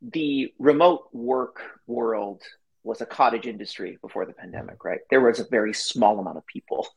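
A man speaking as a guest on a podcast, telling stories quickly and casually and laughing easily. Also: mid-range voice, creaky, slightly rough, highs cut off. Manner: quick, chatty storyteller who laughs easily. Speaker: podcast guest, company founder. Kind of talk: casual interview talk.

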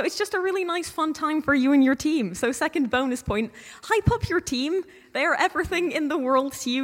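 Young woman early 20s, higher voice, casual emphatic advice on a panel, big echoey room. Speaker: panel guest on an audio drama podcasting panel. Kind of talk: informal advice to a live panel audience. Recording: echoey hall with long reverb.

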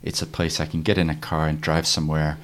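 A man with an extremely low, deep voice hosts a relaxed interview. He grew up in New Zealand and keeps the talk easy and conversational, laughing along with his guest. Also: fast. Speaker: host. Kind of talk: casual interview chat.

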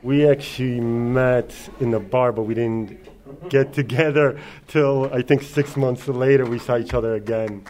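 A man's voice: medium pitch, fairly even intonation, measured pace, darkish tone. A man from Poland answering interview questions in a plain, casual way.

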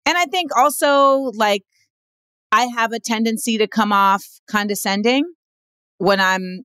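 A woman guest with a general American accent, speaking in a candid interview in a direct, emphatic way, with quick asides. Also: a bit bright, smooth, dry recording, studio quality. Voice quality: says she has a deep voice.

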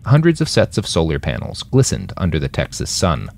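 A man's voice: extremely low pitch, huge pitch swings, brisk, creaky, darkish tone. A man narrating scripted fiction as an audiobook-style story. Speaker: author and narrator.

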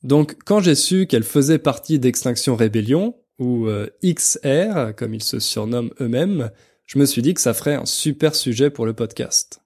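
French man with a very mellow voice. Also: mid-range voice, expressive, moderate pace. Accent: French, from France. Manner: didactic, explains words for learners. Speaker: podcast host and French teacher. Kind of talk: podcast presentation to language learners, clearly explained.

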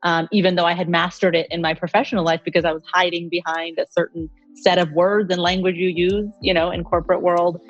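A woman's voice: fairly low pitch, level tone, fairly quick, hardly any pauses, reverberant, lo-fi sound, soft background music. A middle-aged American woman from Colorado, giving articulate, reflective answers as an interview guest. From Colorado, United States. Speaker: interview guest, communications executive.

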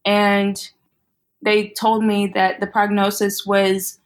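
A woman in her thirties from Texas, calmly recounting her story as a podcast guest. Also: mid-range voice. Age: 30s. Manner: calm, measured, matter-of-fact. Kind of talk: interview storytelling.

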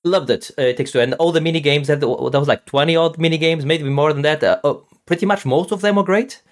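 A man from Portugal with a Portuguese accent, chatting enthusiastically about games as a podcast co-host. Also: on the high side. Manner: fast, enthusiastic, repeats words while thinking.

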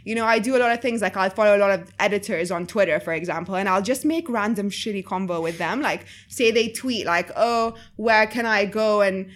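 A woman's voice: medium pitch, a little fast, hardly any pauses. A woman from Egypt with a slight Egyptian-influenced accent in English, chatting casually as an interview guest, full of fillers and easy laughs. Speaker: guest, writer.

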